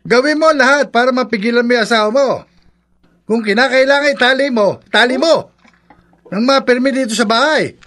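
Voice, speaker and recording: A man's voice: very high-pitched, a little fast, a bit bright. A man playing a stern, worried elderly father-in-law in a radio drama, with an insistent, scolding manner. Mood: insistent, warning. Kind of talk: Filipino horror radio drama acting.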